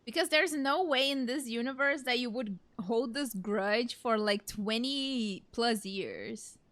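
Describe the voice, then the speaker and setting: A woman's voice: on the high side, takes it slowly, a bit bright. A woman streamer giving casual reactions to a video game in slangy, informal asides. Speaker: let's play streamer. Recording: game music behind voice.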